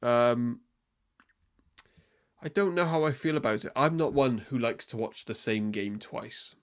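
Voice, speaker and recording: A man's voice: medium pitch, measured pace. Opinionated young man. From Wales. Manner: opinionated, rambling, frequent ums and restarts. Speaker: podcast host. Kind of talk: casual solo football podcast.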